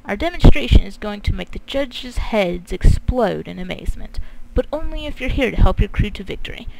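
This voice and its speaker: A woman's voice: medium pitch, expressive, brisk, vocal fry, a little mellow, rough recording. A woman hosting a gaming video, commenting casually and reading quest text aloud, very close to the mic. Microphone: close mic, breathy pops.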